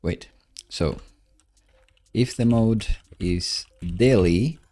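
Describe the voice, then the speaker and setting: A man casually commentating as he codes live, musing and questioning himself in a halting way. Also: lowish voice, warm tone. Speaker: live-streaming programmer host. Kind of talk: casual live coding commentary, thinking aloud.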